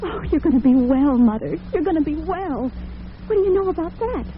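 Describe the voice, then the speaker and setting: A woman's voice: high-pitched, expressive, a little fast. A woman playing a devoted, nervous and defensive young working daughter in an old-time radio drama. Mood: eager, reassuring. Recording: tinny, noisy vintage radio broadcast.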